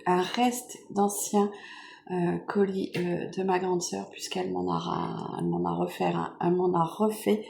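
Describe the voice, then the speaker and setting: A French woman with a cold, hoarse and nasal, chatting softly and rambling with many fillers as she unboxes gifts at home. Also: lowish voice, flat delivery, measured pace, a little hiss or hum. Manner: soft-spoken, meandering with many fillers. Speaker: vlogger. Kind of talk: casual chat unboxing makeup gifts.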